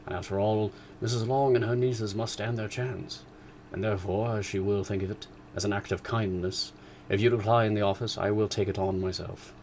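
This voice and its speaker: A man's voice: fairly low pitch, fairly even intonation, moderate pace, darkish tone, a little hiss or hum, natural voice. A man reading the part of a sardonic older father, in a voice close to his own, dry and ironic. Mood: dry teasing.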